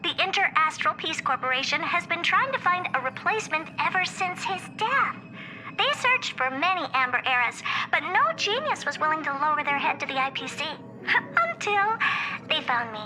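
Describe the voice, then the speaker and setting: A woman's voice: high voice, animated, normal speed, rough recording. A woman voicing a proud, eccentric, youthful female genius scientist in a video game, self-assured and theatrical, delivering scripted monologues. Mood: smug, reflective. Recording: music behind the voice. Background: music.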